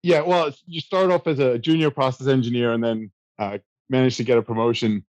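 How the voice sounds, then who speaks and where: Relaxed man telling stories, dry room. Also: medium pitch, a little fast, dry recording, lo-fi sound. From South Africa. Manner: relaxed, self-deprecating storyteller with many fillers. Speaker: guest. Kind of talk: casual interview answers.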